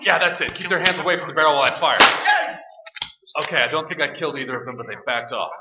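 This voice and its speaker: A man's voice: on the high side, fast, close to an everyday voice. A male actor in a staged radio play, playing a tough, defiant survivor who is blunt and commanding. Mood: urgent, angry. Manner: forceful, challenging, blunt. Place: live stage performance. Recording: echoey, dull live recording, audience noise.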